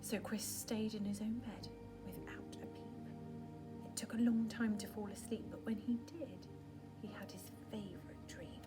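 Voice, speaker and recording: A woman reading a picture book aloud for young children, dramatic and expressive, often dropping into a hushed, breathy tone. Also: mid-range voice, a little slow, over loud music.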